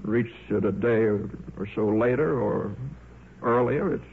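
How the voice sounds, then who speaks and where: Absent-minded middle-aged male scientist, single-minded, halting, fussy; 1950s radio drama with thin vintage sound. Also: on the low side. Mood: agitated, insistent. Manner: fussy, halting, repeats himself. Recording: narrow, noisy 1950s radio recording.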